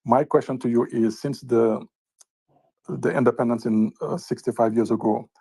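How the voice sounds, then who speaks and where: Gravelly West African man, halting delivery. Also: medium pitch, fairly even intonation, dark tone, rough recording. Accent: Francophone West African. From Francophone West Africa. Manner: halting, searching for words, repetitive. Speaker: audience questioner. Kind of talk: long impromptu question.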